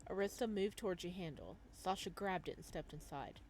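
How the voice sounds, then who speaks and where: A woman hosting a video game playthrough, reading the story aloud as expressive narration and reacting as she goes. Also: fairly low pitch, a little fast, slight background noise. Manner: expressive, slips into character voices. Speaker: gaming video host reading a visual novel aloud.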